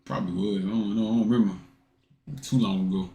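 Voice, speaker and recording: A man's voice: lowish voice, fairly even intonation, fast talker, warm tone. An American man giving casual, slangy reaction commentary, often repeating his phrases. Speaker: reaction video creator.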